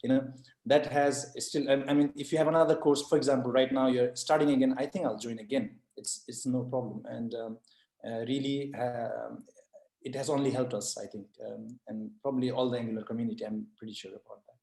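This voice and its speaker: Man from Nepal, soft-edged mellow tone, earnest praise, casual testimonial, echoey room. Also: mid-range voice, fairly even intonation, brisk, gravelly. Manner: effusive, earnest. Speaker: IoT solution architect, course alumnus giving testimonial. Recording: roomy echo.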